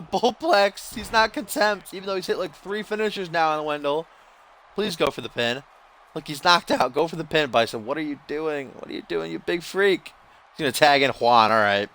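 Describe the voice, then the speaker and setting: Bright-voiced man yelling wrestling play-by-play. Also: on the high side. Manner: swings from dry grumbling to yelling big moves; profane, teasing. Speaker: video game commentator.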